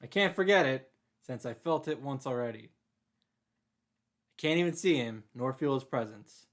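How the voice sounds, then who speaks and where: A man's voice: medium pitch, expressive, moderate pace. A man reading visual novel narration aloud as the host of a read-through, with casual reactions. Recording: music behind the voice. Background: game music.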